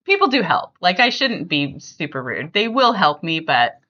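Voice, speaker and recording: Bright, lively, chatty middle-aged woman. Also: fairly low pitch, moderate pace, lo-fi sound. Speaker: podcast host. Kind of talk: casual family podcast chat.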